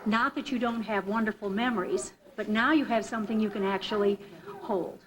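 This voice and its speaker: A woman supporter of a school program making warm, unscripted presentation remarks in a school auditorium. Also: mid-range voice, a little fast, heavy background noise.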